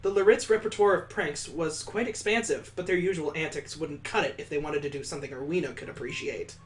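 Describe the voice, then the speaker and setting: Expressive gamer reading a visual novel aloud. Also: mid-range voice, a little fast. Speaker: host of a game playthrough.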